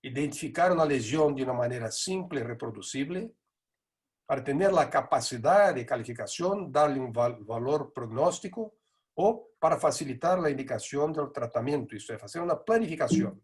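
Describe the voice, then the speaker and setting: A middle-aged man, a native Portuguese speaker with a Buenos Aires tinge in his Spanish, gives a measured conference lecture with frequent hesitations. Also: medium pitch, expressive, husky. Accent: Portuguese-accented Spanish with a Buenos Aires lilt. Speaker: neurosurgery lecturer.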